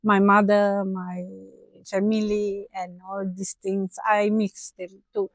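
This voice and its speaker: Slow, hesitant Sri Lankan woman. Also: medium pitch, little pitch movement, no room sound. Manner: hesitant non-native English with many fillers, slips into German. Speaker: restaurant owner and chef. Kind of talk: street interview, answering questions. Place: outdoors in front of her restaurant, then inside.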